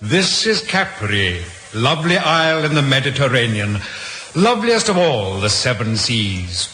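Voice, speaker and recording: An actor in an old radio drama playing a vain, boastful Victorian doctor with a pompous, florid manner. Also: male voice, mid-range voice, big pitch swings, a little slow, sharp tone. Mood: grandly boastful. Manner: pompous, theatrical. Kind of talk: 1940s radio drama acting. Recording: old radio broadcast, roomy, hissy.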